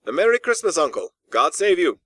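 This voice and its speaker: A man's voice: on the high side, sing-song, a little fast, fairly bright tone, breathy edge, dry recording, voice pushed up, exaggerated voice. A man voicing a cheerful, earnest young Victorian nephew in an audiobook, with an eager, exclamatory manner. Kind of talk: audiobook character acting.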